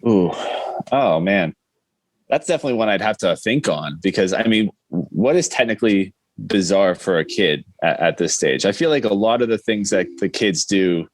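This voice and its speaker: A man's voice: sits low, lively intonation, moderate pace, no room sound. A man chatting casually as a podcast guest, explaining things in a rambling way. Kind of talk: casual chat.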